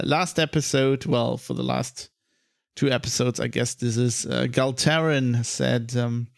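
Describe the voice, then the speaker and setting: A man with a German accent hosting a podcast in casual conversation, blunt and quick to laugh, swearing now and then. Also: medium pitch, gravelly, a little mellow, no room sound, clean studio sound. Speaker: podcast host.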